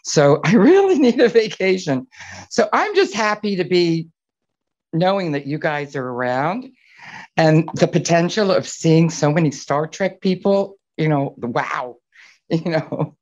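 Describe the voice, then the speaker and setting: A woman's voice: low-pitched, animated, measured pace, a little mellow. A middle-aged woman chatting casually on an online call, talkative and rambling. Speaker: fan attending a group hangout. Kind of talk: casual personal chat. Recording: dull lo-fi call audio.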